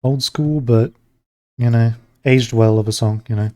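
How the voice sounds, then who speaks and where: A man streaming while he draws, chatting casually with viewers and rambling between pauses. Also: medium pitch, no echo, studio quality. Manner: relaxed, rambling, talks to chat between pauses. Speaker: streamer drawing live. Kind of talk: casual chat while drawing.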